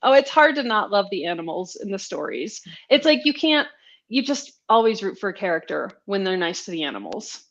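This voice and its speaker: A woman's voice: medium pitch, sing-song, a little fast, a bit bright, lo-fi sound. A woman speaking as a guest author in a casual interview, joking and self-deprecating.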